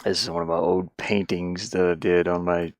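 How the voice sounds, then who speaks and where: A man's voice: sits low, little pitch movement, normal speed. A middle-aged man chatting casually on a livestream while he works, hesitant and muttering to himself. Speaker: livestreamer and hobby musician.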